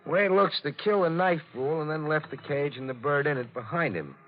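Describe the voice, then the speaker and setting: A man's voice: on the high side, fairly quick, faint music behind, close to an everyday voice. Man acting a sly, offhand police detective, muffled. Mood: offhand, probing. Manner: folksy, offhand, insinuating. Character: casually probing, sly police lieutenant, dogged detective. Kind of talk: 1940s radio thriller acting. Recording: muffled, faintly noisy old radio recording.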